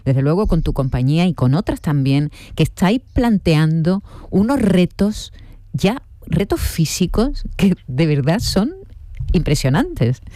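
A woman's voice: on the low side, sing-song, warm tone, dry recording. This is a woman interviewer whose voice rises and falls a great deal. She speaks with eager admiration and often stumbles as she searches for the right words. The sound is dry and close to the mic. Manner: enthusiastic, admiring, halting. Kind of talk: conversational interview.

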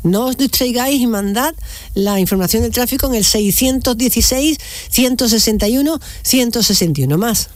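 A woman hosting a morning radio phone-in, chatty and quick with interjections. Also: a little high. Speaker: radio show host.

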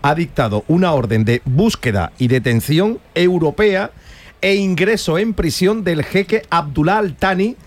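A man hosting a sports radio show, joking and teasing his guest in a casual, lively way. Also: on the high side, measured pace.

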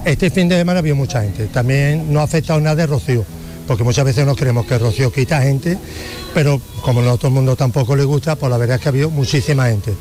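A man, a beach bar waiter, answering interview questions and telling stories in a relaxed way. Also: mid-range voice, quick pace, music in the background. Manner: relaxed, anecdotal storytelling.

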